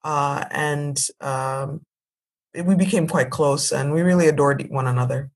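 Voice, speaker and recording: A woman's voice: deep voice, unhurried. A woman in her thirties from Ethiopia, telling her personal story as a podcast guest in a composed, articulate way.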